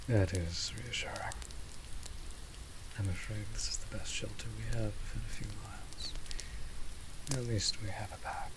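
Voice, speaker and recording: A man playing a courtly, protective vampire patriarch in an intimate fantasy audio roleplay, with breathy, often whispered, formal speech. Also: fairly low pitch, steady tone. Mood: weary, protective. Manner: formal, archaic phrasing, deliberate. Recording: rain noise over close voice. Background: rain on surfaces.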